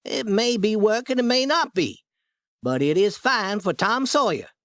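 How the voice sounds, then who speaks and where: A man's voice: lively intonation, unhurried, a bit bright, dry recording. A man doing a sly, coaxing boy. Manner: high boyish voice, coaxing. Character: clever scheming boy Tom, sly.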